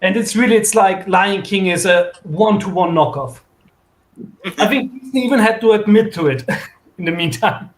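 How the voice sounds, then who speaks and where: A man's voice: high-pitched, normal speed. A man talking casually as a panelist in an online group chat about comics. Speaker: panelist on a comics talk show. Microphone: online group call.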